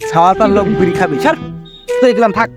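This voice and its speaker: A man's voice: high-pitched, racing, over loud music. A man playing a chatty, cajoling village creditor in a comic skit, with a rural Bangladeshi accent and a voice-changer effect. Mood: brisk. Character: talkative village man chasing a small debt from friends. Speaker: comedy skit performer. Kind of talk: comic dialect skit dialogue.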